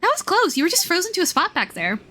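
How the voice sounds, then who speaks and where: A woman voicing a small, excitable girl fairy companion from a video game, chirpy, worried and curious. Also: extremely high pitch, fast, slight background noise, pitched above the performer's own voice, exaggerated voice. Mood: curious, excited. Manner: refers to self in third person, chirpy.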